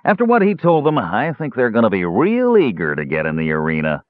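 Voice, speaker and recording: A man voicing a shrill, scheming male dwarf slave-owner who snarls, sneers and cackles. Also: very animated, normal speed, dry recording. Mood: gloating. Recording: dry, phone-like narrow band.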